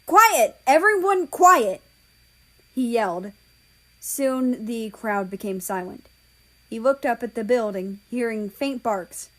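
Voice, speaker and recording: A woman's voice: fairly high pitch, a little slow, a little mellow. A woman reading a story aloud as scripted narration, an amateur audiobook reader.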